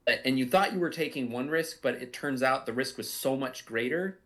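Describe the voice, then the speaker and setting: A man with a medium-pitched voice in an unscripted commentary discussion. He is reflective and halting, often restarting a phrase to word it more exactly, as a writer talking about his own work. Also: a bit bright. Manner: halting, reflective, circling back to rephrase. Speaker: audio drama writer and creator.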